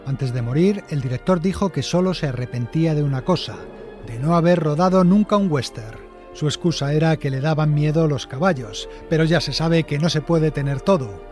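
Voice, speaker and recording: Male documentary narrator, higher pitch, wry storytelling. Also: moderate pace, a little mellow, soft background music.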